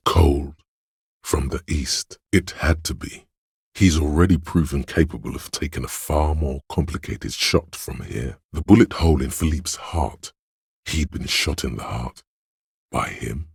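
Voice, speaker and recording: A man voicing a detective's coolly observant inner voice of visual reasoning in a video game, narrating in a measured, clinical, matter-of-fact way with a deep, gravelly tone. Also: no echo, clean studio sound, full character voice. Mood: focused. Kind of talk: scripted second-person game narration.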